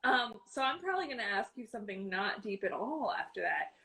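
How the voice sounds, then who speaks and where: A woman's voice: a little high, sing-song, brisk, pushed and bright. A woman hosting a casual interview, upbeat and encouraging, laughing easily. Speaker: interviewer host.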